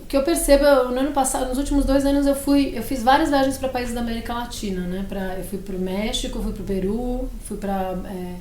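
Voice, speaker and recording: A woman's voice: fairly high pitch, steady tone, brisk, hardly any pauses, a little mellow. A woman in her forties, a publishing director, talking thoughtfully in an interview, often restarting and ending with a questioning "né?". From Brazil. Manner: thoughtful, many restarts and trailing "né?". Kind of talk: interview conversation. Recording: echoey room with faint noise.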